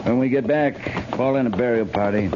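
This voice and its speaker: An actor playing a stern, resolute cavalry captain in a 1950s radio western, speaking in a clipped, grave, commanding way. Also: male voice, medium pitch, normal speed, close to an everyday voice. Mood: grim, commanding. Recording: muffled, noisy 1950s radio recording.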